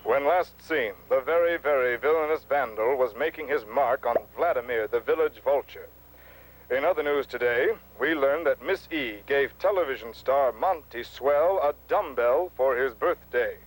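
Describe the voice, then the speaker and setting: An actor playing a solemn, melodramatic radio newscaster in a parody news bulletin for children. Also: male voice, medium pitch, normal speed, lightly acted, poor audio. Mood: newsy. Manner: formal, dramatic announcing.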